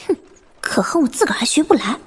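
A haughty, husky-voiced young noblewoman. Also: sits high, big pitch swings, quick pace, in a whisper, heavily acted. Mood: spiteful. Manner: coy, girlish chatter. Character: pampered, haughty, jealous young noblewoman, sweetly flattering. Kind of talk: Chinese audio drama acting.

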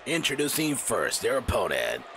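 A man's voice: medium pitch, huge pitch swings, moderate pace, background noise. A man streaming a wrestling game, announcing matches and joking with his chat while losing his voice. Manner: giggly, chatty, jokes with chat. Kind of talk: playful wrestling ring announcing and commentary.

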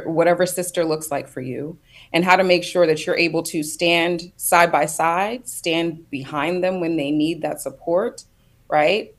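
A woman speaking as a panelist on a video call, thoughtful and reflective, building her points in loose phrases. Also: low-pitched. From United States. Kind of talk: panel discussion.

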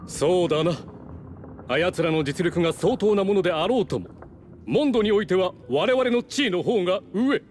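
A man's voice: high voice, animated, normal speed, a little mellow. A man voicing a haughty, scheming nobleman in a Japanese video game, speaking formally and condescendingly. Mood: smug, assured. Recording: game music behind the voice.

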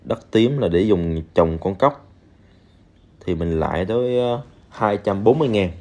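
A man's voice: fairly low pitch, lively intonation, unhurried, darkish tone. A man talking casually over a game screen recording, speaking straight to viewers with many fillers. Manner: chatty, addresses viewers directly, many fillers. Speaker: game reviewer.

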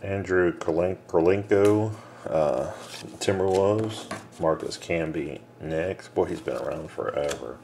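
A man breaking a box of trading cards on camera, reading out names and teams in a low mumble, casual and unhurried. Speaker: card breaker. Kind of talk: casual card-break commentary. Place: small room indoors. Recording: noisy room with card shuffling.

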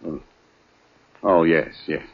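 A man's voice: very deep voice, slow pace, vocal fry, exaggerated voice. A man playing a harried, hesitant doctor in a 1940s radio drama, stammering and pleading. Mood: flustered, pleading. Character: flustered, hesitant, harried married male doctor. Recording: dull 1940s radio recording.

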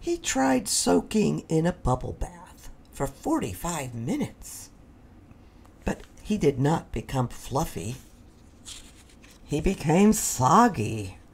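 Very slow, expressive woman reading a storybook. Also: low voice, vocal fry, slightly rough. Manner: deliberate, dramatic pauses for children. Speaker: storybook reader. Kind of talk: expressive children's read-aloud narration.

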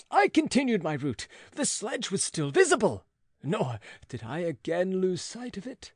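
A man reading a gothic novel aloud as an audiobook, theatrical and emotive, with drawn-out words and dramatic pauses. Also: high-pitched, breathy edge, no room sound, studio quality. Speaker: audiobook reader.

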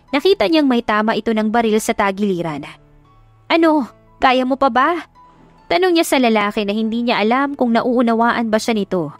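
A woman's voice: mid-range voice, no echo. A woman reading a dramatic scripted love story as an audiobook narrator.

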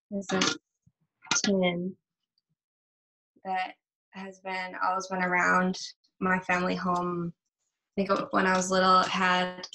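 A woman in her twenties from Buffalo introducing herself on a video call, with a halting delivery. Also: medium pitch, gravelly, sharp tone, rough recording. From Buffalo, New York. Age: 20s. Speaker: participant. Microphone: laptop webcam on video call.

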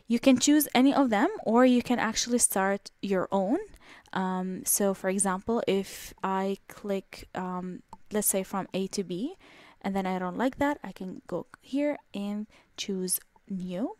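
A woman talks through a software tutorial in a relaxed, explanatory way, pausing often on ums and restarting phrases. Her voice sits in a middle range and sounds dry and close, with no room around it. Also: medium pitch, dry recording. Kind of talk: screen-recorded software walkthrough.

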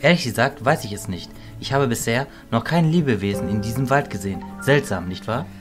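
An actor playing a kindly adult male magician and mentor in a radio play, composed, measured and formal. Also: mid-range voice, lively intonation, soft background music, close to an everyday voice. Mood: calm.

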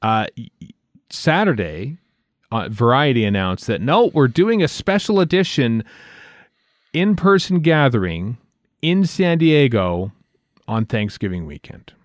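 A man's voice: mid-range voice, big pitch swings, unhurried, dry recording. Man in casual podcast chat, close dry sound. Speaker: podcast co-host.

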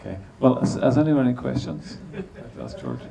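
A man's voice: medium pitch, wildly swooping pitch, normal speed, gravelly, crowd noise. A middle-aged man chairing a panel on a cinema stage, asking long questions full of restarts. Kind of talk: interviewing a guest on stage. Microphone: handheld mic.

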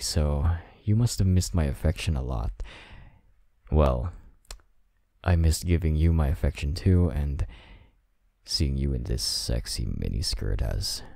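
Deep, gravelly, slow-talking man. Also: no echo, studio quality. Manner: soft, intimate and teasing, with low whispers. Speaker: voice actor in a roleplay audio.

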